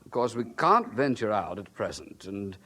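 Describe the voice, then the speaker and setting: A man's voice: lowish voice, sing-song, moderate pace, bright, forceful tone, exaggerated voice. An actor playing a polite, flustered missionary in his thirties in an audio drama, hesitant and stammering. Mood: apologetic, uneasy. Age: 30s.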